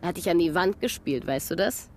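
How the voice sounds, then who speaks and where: Radio drama: a blunt, hot-tempered theatre actress. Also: female voice, fairly low pitch, animated, fairly quick, a little mellow. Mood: scornful. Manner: blunt and cursing. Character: fiery, blunt young actress, quick to anger. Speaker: stage actress.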